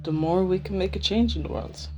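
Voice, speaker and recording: A woman voicing a sympathetic, resolute escaped experiment trained as a killer, in an amateur animated series. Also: on the low side, brisk, faint music behind, natural voice.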